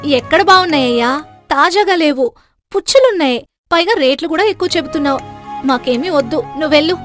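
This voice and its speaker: A woman's voice: high-pitched, expressive, fairly quick, fairly bright tone, soft background music. A woman voicing a brazen, stingy young daughter-in-law in a cartoon tale, bossy and argumentative. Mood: bossy, haggling.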